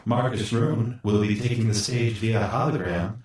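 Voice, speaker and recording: A man's voice: lowish voice, fairly even intonation, moderate pace, darkish tone, natural voice. A man playing a straight-faced radio news reporter, reading a scripted report in a formal, factual way. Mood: serious, neutral. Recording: distant, heavily echoing.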